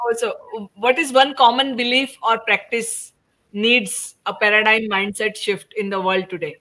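A woman's voice: fairly high pitch, fairly even intonation, normal speed, sharp tone, low-quality recording. A middle-aged woman informally hosting a panel discussion on a video call, putting questions to the panelists and prompting them. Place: indoors at home, on a video call.

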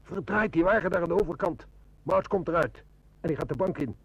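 An actor playing a calm, wry, seasoned police inspector, measured and matter-of-fact, in a radio drama. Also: male voice, fairly high pitch, gravelly, breathy edge, no room sound, dull audio. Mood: focused. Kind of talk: Dutch radio detective drama.

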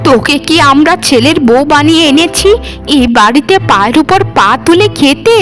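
A woman's voice: high-pitched, expressive, normal speed, a bit bright, soft background music. A woman voicing a domineering older mother-in-law in a cartoon, with a scolding, demanding manner. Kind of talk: animated cartoon dialogue.

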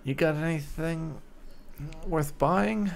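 A man's voice: on the high side, slow pace, creaky, a little hiss or hum. A man giving casual, wry commentary on his video game playthrough, often half talking to himself. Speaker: gaming video host.

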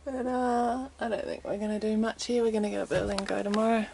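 Aussie woman in her 40s, relaxed, laughing vlog chatter on deck, wind noise faint behind. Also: fairly high pitch, quick pace, gravelly. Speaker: vlogger, sailing family mum. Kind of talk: casual travel vlog narration. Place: outdoors on a sailboat deck at sea. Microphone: handheld camera at arm's length.

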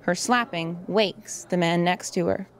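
A woman's voice: fairly low pitch, a little slow, background noise. A woman reading scripted narration and stage directions for an audio drama.

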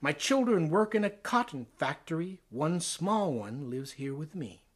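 Man reading as a plain-spoken woman cook, slightly high. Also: animated, a little slow. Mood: plain complaint. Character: plain-spoken working-class woman cook, long-suffering mother.

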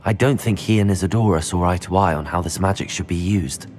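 Man playing a low-voiced, polite young wizard. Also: darkish tone, lightly acted. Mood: composed, earnest. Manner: measured, formal. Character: level-headed, polite young male student wizard hero. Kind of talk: dramatic video game acting. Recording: music behind the voice.

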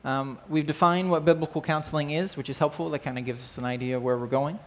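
A man in his thirties teaches a church class from a stage. His talk is loose and friendly, full of small fillers and questions to the group. His voice sits somewhat high for a man. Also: steady tone, fast. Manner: conversational, asks the class questions, frequent "right?" and "uh". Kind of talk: church class lesson with opening prayer. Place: church stage with band gear, indoors.

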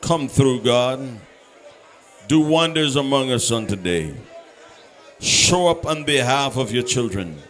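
A man's voice: medium pitch, lively intonation, slow, some chatter behind. A man leading a church service, singing hymn lines and praying fervently with the congregation. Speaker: church leader leading worship. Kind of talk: sung worship and spoken prayer. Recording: live service with loud musical accompaniment. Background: instrumental music.

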